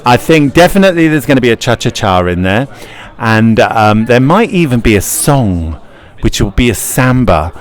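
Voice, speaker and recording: A man's voice: medium pitch, big pitch swings, moderate pace. A man, a pantomime actor, chatting playfully and encouragingly in an interview. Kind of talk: casual interview chat.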